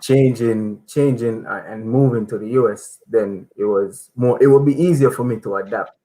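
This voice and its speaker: A young man from Saint Kitts answering interview questions calmly, with many pauses and fillers. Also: mid-range voice, poor audio. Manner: relaxed, many um fillers, soft-spoken. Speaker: footballer, interview guest.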